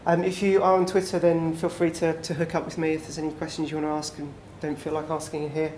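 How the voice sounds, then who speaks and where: A man leads a relaxed, chatty session in a small conference room, leaning on fillers as he talks. His delivery stays flat and even. The room is echoey with faint noise behind him. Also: fairly high pitch, quick pace. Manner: relaxed, filler-heavy, conversational. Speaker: presenter. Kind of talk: conference talk.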